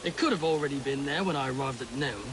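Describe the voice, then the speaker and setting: A man's voice: medium pitch, fast talker, noisy background, close to an everyday voice. A man acting as a loyal, plain-spoken Victorian army veteran giving witness in a video game, in short earnest statements. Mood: sombre, respectful.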